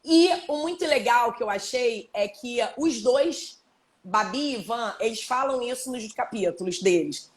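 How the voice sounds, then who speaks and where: A woman's voice: fairly high pitch, animated, measured pace. A woman hosting a casual live video chat, talking with guests and reading book passages aloud, laughing often and restarting her sentences.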